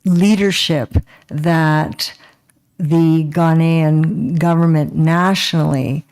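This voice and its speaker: A woman councillor giving a hesitant report at a council meeting, full of fillers and pauses. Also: sits low, steady tone, darkish tone, clean tone. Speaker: town councillor.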